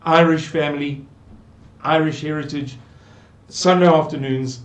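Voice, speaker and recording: An older South African man with a South African English accent, slowly musing through a Bible passage and repeating words for emphasis. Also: a little high, steady tone. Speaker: Bible teacher. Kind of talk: unhurried scripture commentary. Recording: echoey room.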